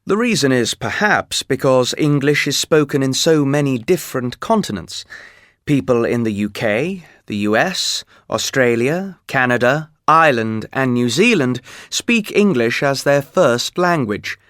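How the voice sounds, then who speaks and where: A man reading scripted educational narration for a textbook, steady and explanatory. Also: mid-range voice, measured pace, no room sound, clean studio sound. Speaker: textbook audio reader.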